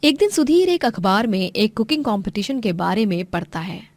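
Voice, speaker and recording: A woman's voice: mid-range voice, very animated, moderate pace, darkish tone, dry recording, studio quality. A woman narrator reading a scripted moral story.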